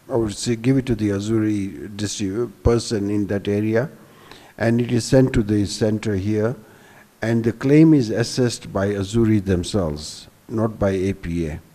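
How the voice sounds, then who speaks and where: A man in his sixties speaks as a business panelist in a low, dark, relaxed voice. He explains step by step in a halting manner, often restarting words, into a handheld microphone. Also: in a large hall. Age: 60s. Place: hall stage before a seated audience. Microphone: handheld microphone held at the chest.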